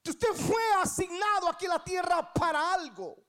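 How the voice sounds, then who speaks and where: A woman preacher in her thirties with a Guatemalan accent and an extremely high pitch. She delivers a sermon with emphasis, repeating her key phrases and posing rhetorical questions to the congregation. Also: normal speed, a bit bright. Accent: Guatemalan Spanish. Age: 30s. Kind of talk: church sermon.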